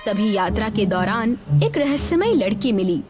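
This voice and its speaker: A woman's voice: mid-range voice, very animated, normal speed. A woman reading a scripted children's story in a narrator's role. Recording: music behind the voice. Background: background music.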